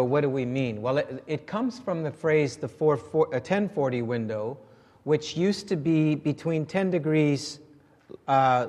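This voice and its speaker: A man's voice: on the high side, a little mellow. A man preaching to a congregation, explaining points with fillers and restarts. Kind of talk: sermon to a congregation. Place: church sanctuary. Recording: reverberant church hall.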